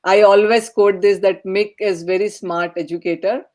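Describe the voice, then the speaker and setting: A woman's voice: mid-range voice, steady tone, moderate pace, a little mellow. A woman hosting a panel podcast, moderating guests with frequent encouraging affirmations. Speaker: podcast host.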